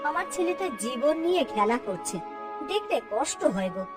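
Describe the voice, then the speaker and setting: Woman doing a gentle old cartoon mom, soft n worried, coaxing, pitch moves a lot, music behind. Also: sing-song, moderate pace. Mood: worried, sorrowful. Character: aging village mother-in-law, gentle, worried, self-sacrificing. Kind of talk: cartoon dialogue acting.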